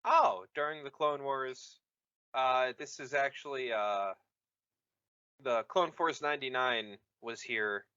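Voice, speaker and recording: A man co-hosting a casual podcast, halting and correcting himself as he goes. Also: medium pitch, bright, forceful tone, clean tone, no echo, highs cut off. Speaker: podcast co-host. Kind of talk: casual chat.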